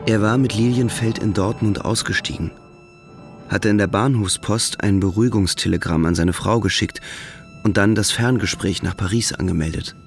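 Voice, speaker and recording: Casting: male narrator, low gravelly voice, scripted literary delivery, faint music beneath, radio play. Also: moderate pace.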